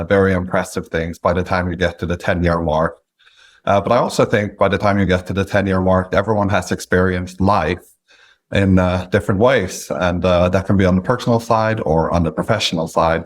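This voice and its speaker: A man in his late thirties from Norway with a Norwegian accent, a guest in an interview who speaks in a measured way with frequent pauses. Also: low-pitched. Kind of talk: interview conversation.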